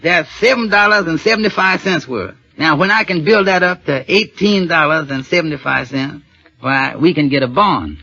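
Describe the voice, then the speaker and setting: A man's voice: fairly high pitch, animated, normal speed, vocal fry, pushed and bright, no echo, full character voice. An actor playing a patient, earnest father in 1940s radio style, speaking in a folksy, slightly hesitant way. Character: patient, gentle, earnest father, working man. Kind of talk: 1940s radio drama acting. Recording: dull old 1940s radio broadcast.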